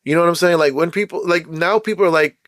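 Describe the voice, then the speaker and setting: Host, man, casual blunt rant, slightly high voice, hoarse and fading, dry close sound. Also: fast, fairly bright tone, dry recording. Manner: blunt, emphatic, repeats points. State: losing his voice, throat-clearing. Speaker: channel host. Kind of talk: casual ranting commentary.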